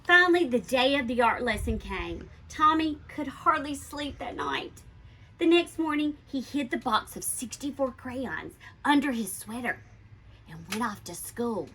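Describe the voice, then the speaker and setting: A woman reading a children's picture book aloud like a schoolteacher, expressively and with deliberate, emphatic stresses. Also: fairly high pitch, sing-song, fairly bright tone, breathy edge, rough recording.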